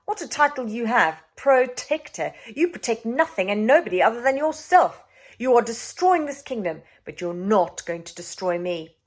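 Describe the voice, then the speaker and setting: Woman playing proud queen, imperious, loud and emphatic, lively pitch, children's storybook. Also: moderate pace. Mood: defiant. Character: proud, furious, commanding queen and mother.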